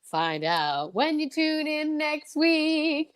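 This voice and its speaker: A woman's voice: sits high, sing-song, slow pace, voice pushed up, full character voice. A woman playing a showy, emphatic announcer signing off an old-time radio serial.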